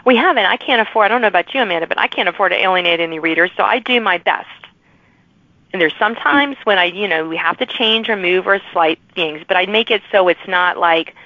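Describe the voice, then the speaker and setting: A woman's voice: mid-range voice, quick pace, dry recording, muffled recording. A woman hosting a podcast, talking in a casual, chatty, informal way with emphatic asides. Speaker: podcast host. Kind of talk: casual interview chat.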